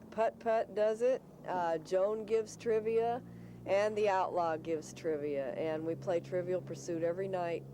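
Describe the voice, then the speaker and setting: Woman, mellow dark tone, matter-of-fact local interviewee, casual talk over faint noise. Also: on the low side. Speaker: local resident being interviewed. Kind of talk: casual conversation.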